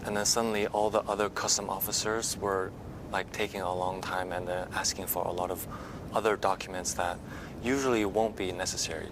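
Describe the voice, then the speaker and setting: A man's voice: fairly even intonation, a little fast. A man in an interview, hesitantly describing an encounter in a casual way. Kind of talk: personal account in interview. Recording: noisy with rumble behind.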